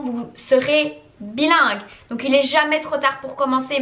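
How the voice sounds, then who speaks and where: A young woman from Belgium chats casually to camera, answering viewers' questions. She keeps stacking repeated words for emphasis, and her voice sits a little high. The room around her gives a noticeable echo. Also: a bit bright. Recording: echoey room.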